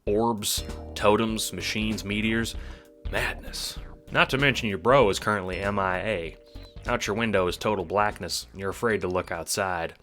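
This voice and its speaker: A man with a low, bright, punchy voice narrates a scripted audio drama. He speaks to the listener as "you", wry and sardonic, with a theatrical touch. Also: normal speed, soft background music.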